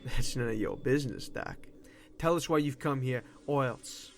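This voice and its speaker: A man playing a tough, menacing gangster henchman in an audio drama, with blunt, gruff phrasing. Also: mid-range voice, wildly swooping pitch, moderate pace, noisy background. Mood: threatening. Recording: music under the voice.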